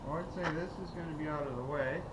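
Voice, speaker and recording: Man talking over loud outdoor noise. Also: a little high, quick pace. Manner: relaxed, thinking aloud while working. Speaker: kayak fishing vlogger. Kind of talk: casual how-to narration. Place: outdoors in a gravel storage yard.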